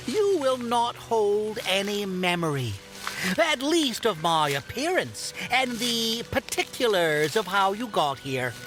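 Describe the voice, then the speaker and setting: A woman's voice: fairly high pitch, a bit bright, heavily acted, rough recording. A woman playing a whimsical, mischievous yet kindly elf in an audio drama, giggly and theatrical, with playful rhymes and grand old-fashioned phrasing.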